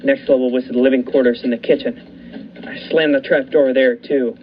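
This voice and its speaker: A man's voice: medium pitch, steady tone, moderate pace. A young man narrating a radio thriller in the first person, telling it steadily and building the tension. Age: young adult. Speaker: narrator and lead actor. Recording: dull lo-fi recording, faint hiss.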